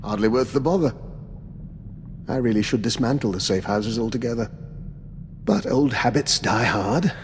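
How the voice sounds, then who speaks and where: An actor playing an urbane, calculating old doctor and veteran spy in a video game, with a refined, courteous, understated manner. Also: male voice, mid-range voice, moderate pace, long pauses, big-room sound, slight background noise, lightly acted. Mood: unconcerned, wry. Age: older. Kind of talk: video game dialogue acting.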